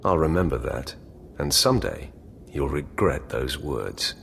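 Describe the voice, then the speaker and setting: Casting: confident Sith warrior, game lead; very deep, terse, dry threat. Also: male voice, sing-song, unhurried, creaky, slight background noise, full character voice. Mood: defiant. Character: curt, confident, menacing male Sith warrior acolyte. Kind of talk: video game voice acting.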